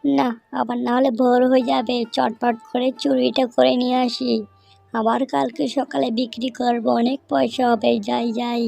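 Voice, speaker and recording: A woman's voice: on the high side, flat delivery, normal speed, creaky, dark tone, faint music behind. A woman voicing a sly, greedy male thief in an amateur cartoon, muttering furtively and repeating himself. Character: sly, greedy male thief, hen-pecking-free husband.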